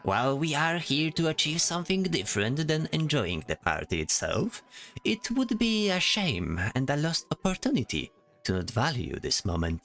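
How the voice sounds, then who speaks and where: A man playing a chatty, fussy, worrying bard with an expressive way of talking in a fantasy audio drama. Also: fairly high pitch, normal speed, soft background music. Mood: thoughtful, gentle. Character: chatty, complaining, worrying bard disguised in knight's armor.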